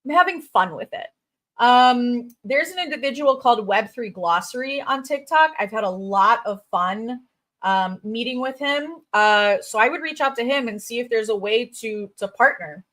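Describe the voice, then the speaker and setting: A woman's voice: fairly high pitch, expressive, fairly bright tone. Bubbly Chicago woman hosting a livestream. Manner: bubbly, chatty, quick asides and fillers. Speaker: crypto recruiter hosting a livestream. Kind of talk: casual livestream chat reading viewer comments.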